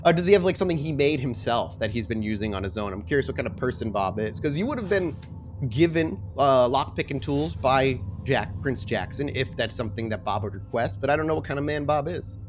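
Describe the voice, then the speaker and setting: A man's voice: mid-range voice, lively intonation, a little fast, background noise. A man running a tabletop roleplaying game as game master, narrating scenes descriptively and conversationally.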